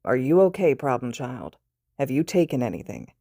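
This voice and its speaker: A woman's voice: a bass voice, moderate pace, no room sound, studio quality, full character voice. Woman voicing a tired, stern male teacher. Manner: flat, stern, deadpan. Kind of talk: fan fiction read aloud.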